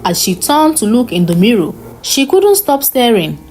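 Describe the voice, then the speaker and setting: A woman narrating a scripted folktale in an expressive, dramatic storytelling style. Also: on the high side, normal speed, soft background music, low-quality recording.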